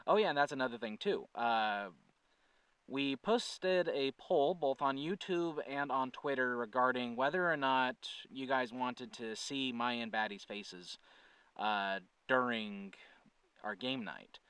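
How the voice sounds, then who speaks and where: A man's voice: mid-range voice, unhurried, a bit bright, clear, resonant tone, dry recording, far from the mic, poor audio. A man speaking as a channel host in a casual, earnest update to viewers, thinking aloud and correcting himself as he goes. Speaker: channel creator and host, writer-producer.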